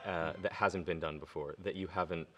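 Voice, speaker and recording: A man's voice: sits low, fairly even intonation, normal speed, background noise. A male opera singer speaking enthusiastically and plainly in a promotional interview.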